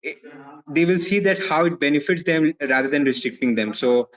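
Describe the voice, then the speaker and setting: A young man from India with an Indian accent, answering interview questions as a legal advisor in a measured way, often repeating words as he thinks. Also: on the high side, fairly bright tone, rough recording. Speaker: foreign legal advisor, guest.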